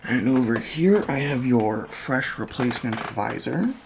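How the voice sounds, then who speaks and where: A soft-spoken repair technician in an ASMR roleplay, talking slowly with a creaky, gravelly edge. The murmured, hesitant step-by-step narration swings up and down in pitch. A steady fan hum and small clicks sit clearly behind the voice. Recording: constant fan noise, clicks and chair creaks. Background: computer fan hum, clicking and typing.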